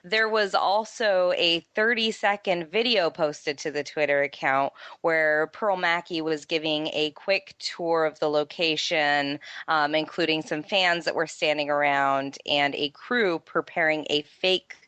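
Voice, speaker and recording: A woman's voice: low-pitched, steady tone, measured pace, hardly any pauses, a bit bright, clear, resonant tone, no room sound. A woman co-hosting a fan podcast and casually presenting news items. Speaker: podcast co-host reading news.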